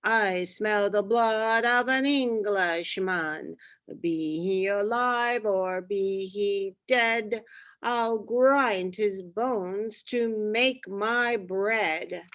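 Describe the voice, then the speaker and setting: A woman voicing a terrible, hungry male giant in a storybook, roaring and chanting slowly. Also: mid-range voice, fairly bright tone, dull audio. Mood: menacing.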